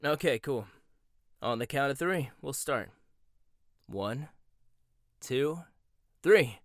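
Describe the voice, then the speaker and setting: Smug rival chef, a young man voicing him, teasing and competitive, mid pitch, dry close-mic ASMR. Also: lively intonation, slow, no echo. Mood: bossy. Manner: teasing, smug, laughs often. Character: cocky rival male chef, condescending and competitive. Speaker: voice actor. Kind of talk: boyfriend ASMR roleplay.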